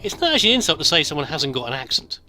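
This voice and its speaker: A middle-aged British man with a soft accent, telling a rambling, self-deprecating story over gameplay, full of stops and restarts. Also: a little high, very animated, very fast, a little hiss or hum. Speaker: gameplay commentator.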